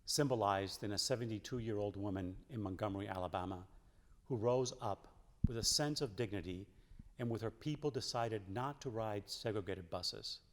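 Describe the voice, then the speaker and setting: A man reading a written letter aloud in a solemn way, with measured, deliberate phrasing. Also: lowish voice. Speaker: church member reading aloud. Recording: long echoing reverb.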